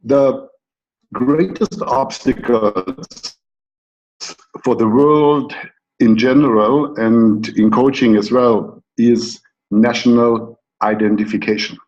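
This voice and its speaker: A middle-aged man from Germany with a German accent, a coach giving a slow, deliberate, reflective talk with pauses. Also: medium pitch, darkish tone, lo-fi sound. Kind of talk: lecture-style talk.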